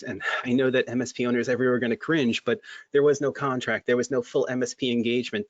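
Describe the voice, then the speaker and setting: A man's voice: mid-range voice, dry recording. Man on a dull lo-fi video call. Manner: chatty, many restarts and fillers. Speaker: guest, MSP creative director. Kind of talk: casual webinar chat.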